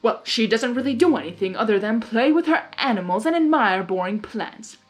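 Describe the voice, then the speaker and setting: Girl doing a cocky impatient chaos spirit guy, high and super animated, demanding, story reading. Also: a little fast, close to an everyday voice. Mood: excited. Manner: exasperated, demanding. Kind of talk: dramatized fanfiction storytelling.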